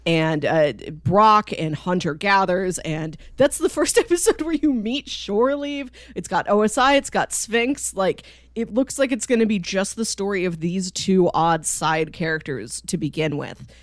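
A woman's voice: medium pitch, expressive, a little slow, hardly any pauses, dry recording, studio quality. A woman hosting a podcast, talking casually and explaining with enthusiasm about a series she enjoys. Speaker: podcast host.

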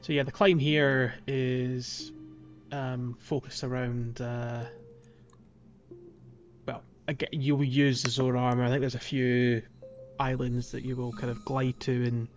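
A man co-hosting a podcast, talking casually as he guides listeners through a video game. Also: mid-range voice. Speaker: podcast co-host. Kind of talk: casual chat, guiding a game playthrough. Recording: slightly dull lo-fi sound, music behind. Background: background music.

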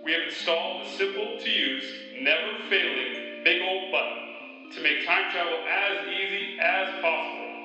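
Over prominent music, a man acts an upbeat salesman in a spoof radio ad. His voice is high and emphatic, in a muffled recording full of echo. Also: measured pace, pushed and bright, exaggerated voice. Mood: upbeat, salesy. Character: upbeat salesman hawking time machine parts. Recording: very echoey, muffled, music behind.

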